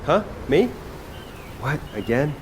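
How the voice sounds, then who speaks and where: An actor playing a reluctant, casual chef fighter in a video game, informal and given to grumbling. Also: male voice, a little high, sing-song, slow pace, background noise.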